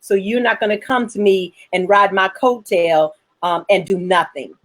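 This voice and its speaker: A woman's voice: mid-range voice, normal speed, fairly bright tone. A woman hosting a chat about her work as a filmmaker, answering viewers in a frank, self-assured way. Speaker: host, independent filmmaker.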